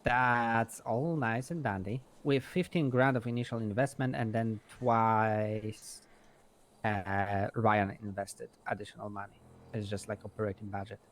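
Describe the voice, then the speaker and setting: A man's voice: fairly low pitch, fairly even intonation, unhurried. A man playing a blunt, foul-mouthed male mechanic and shop owner, talking in a rambling, emphatic way in improvised game roleplay. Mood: confident, explanatory. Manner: rambling, emphatic, swears freely.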